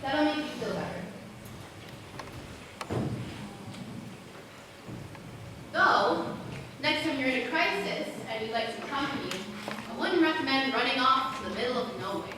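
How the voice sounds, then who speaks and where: High-voiced wry, curious girl acted by a woman. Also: lively intonation, brisk. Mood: wry, piqued. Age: teens or young adult. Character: curious, wry, searching girl who comforts forest visitors. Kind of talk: school stage play acting. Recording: echoey stage from far off, noisy.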